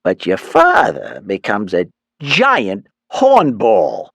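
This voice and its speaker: A man voicing a chatty, teasing middle-aged mother in a high, sing-song voice. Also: wildly swooping pitch, slow, gravelly, fairly bright tone, no echo, studio quality, exaggerated voice. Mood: teasing, giddy.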